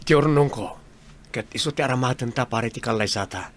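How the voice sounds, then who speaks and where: A man's voice: mid-range voice, fast talker, lightly acted. A man with an Ilocano accent acting a loyal, eager crew worker in a radio drama. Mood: impatient, tender.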